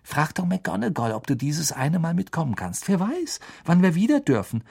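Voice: mid-range voice, wildly swooping pitch, moderate pace, creaky, darkish tone, husky, no echo, clean studio sound, voice pushed up, heavily acted. A narrator voicing a hot-headed, grumpy teenage schoolboy in an audiobook, blunt, irritable and complaining. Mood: urging. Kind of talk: audiobook character acting.

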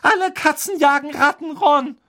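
A narrator voicing a bossy, protective teenage schoolgirl in an audiobook, insistent and quick to scold. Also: medium pitch, very animated, a little mellow. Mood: indignant, trembling. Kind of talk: audiobook character acting.

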